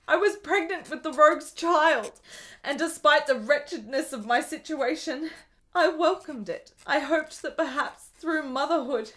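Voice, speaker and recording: Actress as a high-voiced, melancholy, lovesick frail woman. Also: a bit bright. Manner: earnest, melodramatic, formal literary phrasing. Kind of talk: radio drama acting.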